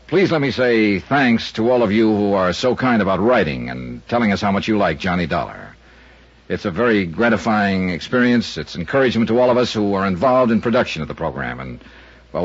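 A man's voice: fairly low pitch, animated, a little fast, husky, no echo. A man, the show's star, thanking listeners in an earnest scripted address. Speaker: radio star. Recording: dull old radio recording.

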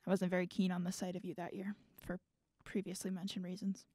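A woman's voice: fairly low pitch, completely flat delivery, fairly quick, dark tone, husky, no echo. Woman acting as guarded, regretful Hugh, close and dry. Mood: wry, wistful. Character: guarded, regretful adult named Hugh, torn and bitter. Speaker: voice actor in student audio drama. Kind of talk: naturalistic audio drama dialogue.

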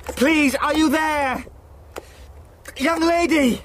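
An actor playing a frightened young English solicitor lost in fog, halting and exclamatory, in a radio play. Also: male voice, very high voice, steady tone, fairly bright tone, slight background noise, exaggerated voice. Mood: desperate, calling out. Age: young adult.

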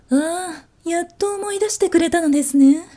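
A woman voicing a sly, sadistic chief flight attendant in a Japanese ASMR voice drama, courteous with a hushed menace. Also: extremely high pitch. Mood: sly, menacing. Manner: hushed, slow menace under courteous speech.

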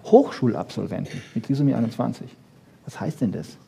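A man lecturing freely on popular science to an audience, emphatic, with rhetorical questions and frequent checking tags, in a slightly husky voice. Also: mid-range voice, animated, a little fast, warm tone.

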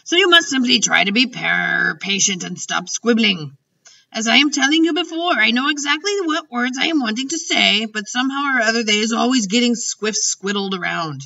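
A woman voicing a very old, gentle, proud and muddled childlike giant in a storybook, drawing out words emphatically with mangled grammar and invented words. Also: fairly high pitch, lively intonation, normal speed, vocal fry, distant mic, muffled recording, voice pushed up, exaggerated voice. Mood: sad, flustered.

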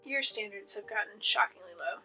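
A woman playing Hannah, a calm and deadpan teen girl helping with announcements in an audio drama. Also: mid-range voice, fairly quick, breathy edge, lo-fi sound, faint music behind, full character voice. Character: dry, unimpressed teen girl helper Hannah.

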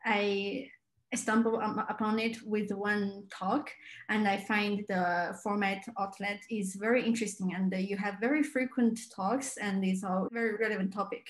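A woman's voice: mid-range voice, steady tone, normal speed. A woman in her twenties hosting a conversational interview on a video call, recorded in a roomy-sounding indoor space. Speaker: interviewer, host.

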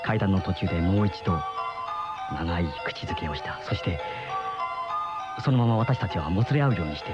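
An actor playing an uneasy middle-aged husband in a radio mystery, with brooding, dramatic storytelling. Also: male voice, sits low, animated, normal speed, long pauses. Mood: brooding, dreamy. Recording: muffled old radio drama recording, music behind.

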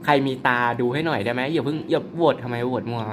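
A man's voice: mid-range voice, very fast, background noise. A man giving casual commentary on his mobile game, playful and jokey, tossing out mock-polite apologies and frequent exclamations. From Thailand. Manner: exclaims and laughs often. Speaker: mobile game player and channel host.

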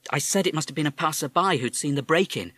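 Brief: man playing a soothing, level-headed mum, lifted pitch. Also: animated, fast, a bit bright, no echo. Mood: reassuring. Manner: soothing, reassuring. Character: calm, steady British mother.

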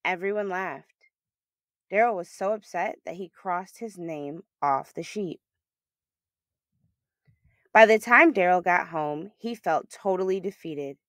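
Slow-paced woman reading a children's story. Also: fairly low pitch, a bit bright, dry recording, clean studio sound. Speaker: storyteller reading a picture book aloud. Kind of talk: children's story read-aloud.

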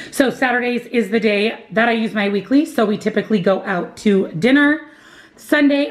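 A woman vlogger, married and working, cheerfully walking through her grocery haul and weekly meal plan, gushing and repeating words for emphasis. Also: a little high. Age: adult, married, working. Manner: upbeat, gushing, repeats "love, love, love".

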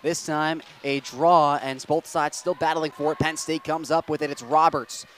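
A young man calling ice hockey play-by-play with rapid, steady narration that rises to excited shouts on goals, on a headset mic in an arena booth. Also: on the high side, a little hiss or hum. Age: young adult. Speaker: play-by-play announcer.

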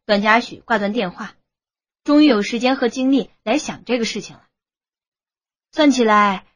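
Slightly high, husky female narrator. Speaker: audiobook narrator. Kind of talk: scripted romance novel narration.

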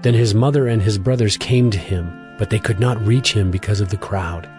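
Low-voiced male scripture narrator over music. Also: a little fast.

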